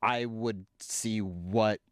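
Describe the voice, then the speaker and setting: A podcast host asking questions in a chatty, playful, rambling way during a casual interview. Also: male voice, on the low side, fairly even intonation, drawn-out words, no room sound, clean studio sound.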